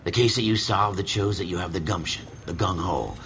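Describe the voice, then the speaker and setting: An actor playing a seasoned, folksy American police narrator in a noir detective game. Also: male voice, low-pitched, a little fast, a little hiss or hum. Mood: knowing, hard-boiled. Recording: loud music under voice.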